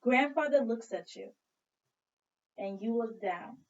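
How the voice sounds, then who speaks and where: Slow, high-voiced woman teacher reading aloud, distant sound. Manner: dramatic storytelling, prompting listeners with questions. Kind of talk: expressive children's read-aloud and lesson. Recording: dull lo-fi sound, distant from mic.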